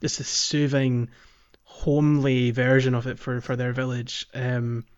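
A man's voice: medium pitch, little pitch movement, a little slow, clean tone, highs cut off. A man co-hosting a gaming podcast in casual chat, rambling and hesitant with many fillers and restarts. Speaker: podcast co-host.

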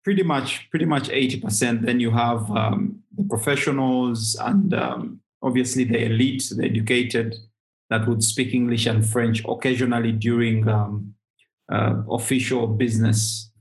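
A man's voice: medium pitch, fairly even intonation, normal speed, poor audio. A man speaking as an interview guest, explaining things with frequent hesitations and fillers. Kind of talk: interview conversation.

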